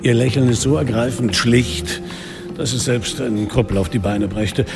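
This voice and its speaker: A man's voice: mid-range voice, moderate pace, gravelly, natural voice. A man playing a sardonic, world-weary police commissioner in a radio drama, telling his case in a dry, literary, ironic way. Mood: wry. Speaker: narrator and lead actor. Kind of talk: radio drama narration and dialogue. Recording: studio radio drama, reverberant, music underneath.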